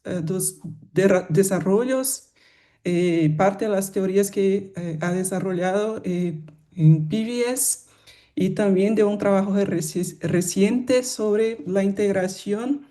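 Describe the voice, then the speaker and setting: A woman moderating a colloquium, giving a formal introduction of the guest speaker in a slightly halting way, with fillers. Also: mid-range voice, warm tone.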